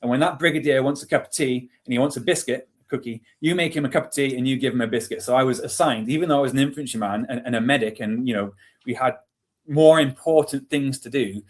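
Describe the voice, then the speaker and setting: A man's voice: medium pitch, fast. A man in his forties with a British accent, telling stories casually and chattily as an interview guest, with some self-deprecation. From England. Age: 40s. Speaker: interview guest, army veteran. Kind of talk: casual storytelling.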